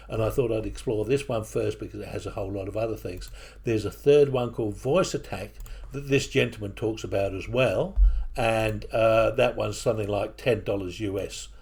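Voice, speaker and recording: A man with a mixed English and New Zealand accent, walking through software on his computer in a casual, hesitant way with many fillers. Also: lowish voice, creaky, husky. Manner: hesitant, with frequent fillers and restarts. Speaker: software tutorial presenter. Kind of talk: casual screen-recording walkthrough. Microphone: desktop microphone at a computer.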